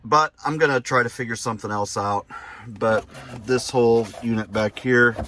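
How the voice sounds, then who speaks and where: A man hosting a casual hands-on DIY vlog, thinking aloud in a halting, self-deprecating, crude way. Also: mid-range voice, steady tone, bright, forceful tone. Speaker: host of a DIY truck modification vlog. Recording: clear background noise and ambience.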